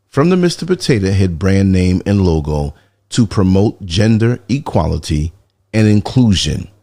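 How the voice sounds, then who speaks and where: Sleepy deep-voiced man giving commentary. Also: a little mellow, no room sound. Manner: sleepy, low-key, sarcastic asides. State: just-woken sleepy voice. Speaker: podcast host. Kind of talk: casual commentary reading a news article.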